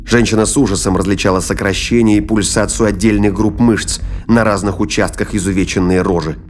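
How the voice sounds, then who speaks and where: A man's voice: on the low side, fairly even intonation, a little fast, a little hiss or hum. A man narrating a science-fiction thriller audio play as scripted storytelling.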